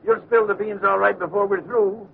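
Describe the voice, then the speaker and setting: An actor playing a tough, scolding, slangy police officer in a 1940s radio drama. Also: male voice, sits high, fairly even intonation, brisk, gravelly. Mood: stern. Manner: forceful, slangy. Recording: dull noisy old radio, crowded effects.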